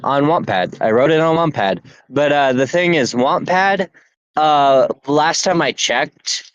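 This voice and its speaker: A man's voice: mid-range voice, no room sound. A young man co-hosting a casual podcast, rambling with restarts as he vents about websites. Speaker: podcast co-host. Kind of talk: casual chat. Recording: dull lo-fi dry sound.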